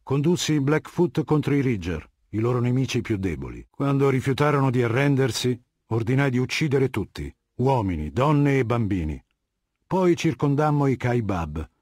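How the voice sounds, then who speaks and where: An actor playing a ruthless, cultured warlord dictator in a video game, with a husky voice and a commanding, condescending, articulate delivery. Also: male voice, mid-range voice, very animated, moderate pace, darkish tone, no room sound, studio quality. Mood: disdainful storytelling.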